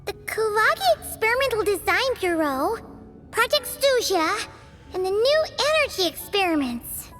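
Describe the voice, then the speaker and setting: A woman's voice: very high-pitched, very animated, normal speed. A woman voicing a tiny, chirpy, nosy female companion who talks about herself in the third person, in a game. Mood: thoughtful. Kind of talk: video game voice acting. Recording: game audio over loud music.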